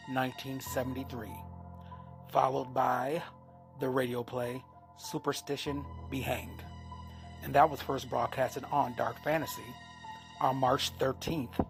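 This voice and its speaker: Slow-speaking horror show host, man in his fifties. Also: mid-range voice, creaky, rough recording. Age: 50s. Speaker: host of old-time radio horror show. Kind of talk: scripted programme introduction. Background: faint music.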